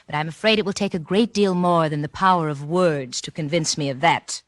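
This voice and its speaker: An actress in a 1940s radio drama playing an icy, sarcastic, unforgiving wife with arch, cutting politeness. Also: female voice, deep voice, brisk, no echo, lightly acted. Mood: icy sarcasm.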